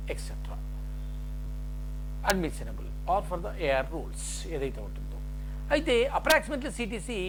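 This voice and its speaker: Male presenter, very animated intonation, halting point-by-point explainer delivery, noisy recording with mains hum. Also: sits high. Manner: halting, list-like phrasing mixed with English terms. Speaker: jobs information presenter. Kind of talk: explaining a job notification point by point.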